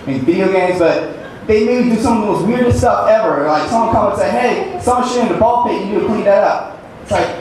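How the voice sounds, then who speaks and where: A man's voice: high voice, animated, quick pace, darkish tone. A young man performing a stand-up comedy set on a club stage, with an awkward, rambling, self-deprecating delivery. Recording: echoey club room, distant mic, background noise.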